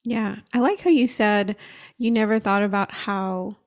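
A woman's voice: mid-range voice, measured pace. A woman hosting a podcast, introducing and questioning her guest in a conversational interview style.

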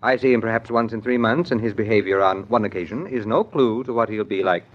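A man's voice: on the low side, fast talker, close to an everyday voice. An actor playing a dry, courteous middle-aged businessman and father in a 1940s radio soap opera, speaking formally and articulately with wry regret. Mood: courteous, rueful. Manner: formal, articulate, wryly rueful. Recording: dull 1940s radio broadcast recording.

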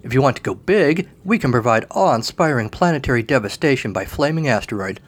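A grandiose creature who sells fire, pushing every pitch with showman flair, as a man puts him on. His voice rides well above the narrator's own and swells with salesy enthusiasm. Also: expressive, a little fast, natural voice. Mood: grandly eager. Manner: theatrical, pushy sales pitch.

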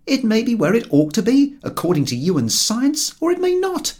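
A man's voice: very high-pitched, wildly swooping pitch, moderate pace, darkish tone, pitched above the performer's own voice, full character voice. A man voicing a sardonic, grumbling Englishman in a storybook reading, with sarcastic, emphatic, pointed delivery. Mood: sarcastic, insistent.

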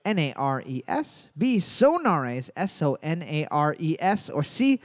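A man with a high, very lively voice hosts a trivia night and jokes between questions. He reads the choices aloud and spells them out slowly. The sound is close and dry, with no room echo. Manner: animated, spells out answers slowly. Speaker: trivia host. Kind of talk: live bar trivia hosting with jokes.

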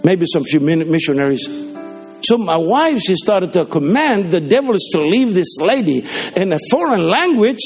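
A man preaching in a church, his voice gravelly and fairly high. He swings widely in pitch as he tells stories and exhorts the congregation, with faint instrumental music playing behind him. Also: sing-song, moderate pace. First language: Danish. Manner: fervent, storytelling, with rising exhortations. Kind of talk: sermon. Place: church service.

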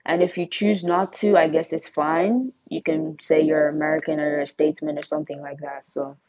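A woman's voice: deep voice, flat delivery, a little fast, a little mellow, low-quality recording. A young woman from Nigeria speaking as a guest in a casual podcast conversation, explaining things conversationally with personal anecdotes. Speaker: interview guest, student.

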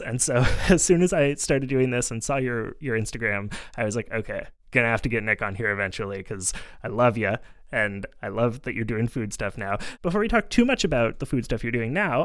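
Fast-talking male podcast host, dry studio sound. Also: medium pitch, no room sound. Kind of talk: casual chat. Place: home studio.

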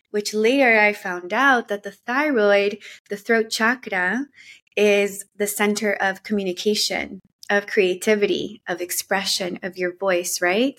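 A woman from Colombia speaks as a podcast guest, recounting her path in an earnest, reflective manner. She talks casually and leans on filler words as she thinks aloud. Her voice sits at an ordinary middle pitch. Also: fairly bright tone. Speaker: podcast guest, women's mentor. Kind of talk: casual conversational storytelling.

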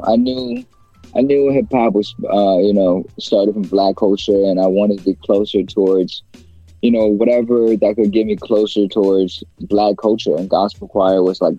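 Low, mellow-voiced young man rambling. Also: normal speed, no room sound, dull audio, faint music behind. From China. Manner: rambling, many fillers and restarts. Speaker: guest rapper. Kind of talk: casual interview talk.

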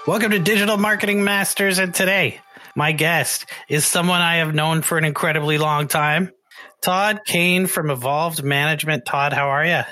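Man, Canadian accent, bright forward voice, chatty rambling host, casual storytelling, close dry sound. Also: a little high, fairly even intonation, normal speed, no room sound, faint music behind. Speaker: podcast host. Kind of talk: casual conversational interview.

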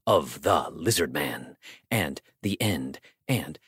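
A man's voice: a bass voice, animated, takes it slowly, fairly bright tone, husky, dry recording, clean studio sound. An American man narrating an audiobook in a theatrical, mock-grave, tongue-in-cheek way with emphatic delivery.

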